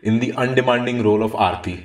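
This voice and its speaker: A man plays a sneering ghost of a theatre critic in a radio drama. The voice is mid-pitched and distant from the mic, in an echoey room, delivering each line with pompous theatrical relish. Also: fast, close to an everyday voice. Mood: mocking. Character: mocking male ghost of awful theatre reviews. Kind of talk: radio play acting.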